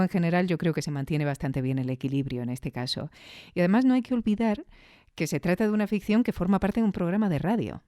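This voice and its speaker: Female host, Spain Spanish accent, soft dark tone, close dry sound, thoughtful conversational commentary. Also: sits low, no room sound. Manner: reflective, explanatory, with rhetorical questions. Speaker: audio fiction review show host.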